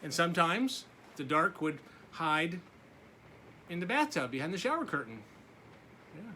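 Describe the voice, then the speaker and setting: Male preschool teacher, read-aloud: bright, slow delivery with simple asides, some background noise. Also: on the high side, big pitch swings. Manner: simple asides to young listeners. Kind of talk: picture-book read-aloud for young children.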